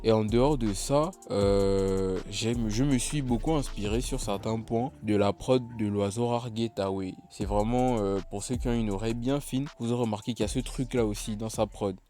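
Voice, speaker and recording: Laid-back man, mellow voice, slightly congested. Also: on the low side, normal speed, few pauses, faint music behind. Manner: laid-back, chatty, many hesitations. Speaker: beatmaker walking through his own production. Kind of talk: casual tutorial narration.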